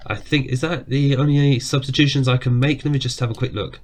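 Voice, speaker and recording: Man, has a cough, casual game commentary, chatty, reacts to match moments, desk mic, mid pitch. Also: fairly even intonation, a little fast. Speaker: gaming YouTuber.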